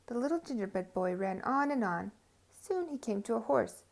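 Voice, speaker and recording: Woman, high pitch, creaky, expressive children's story narration, emphatic phrasing. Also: moderate pace.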